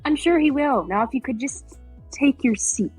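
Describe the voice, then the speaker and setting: A woman's voice: sits high, expressive, moderate pace, a little mellow, breathy edge, faint music behind. A woman reading film dialogue at a casual amateur table read.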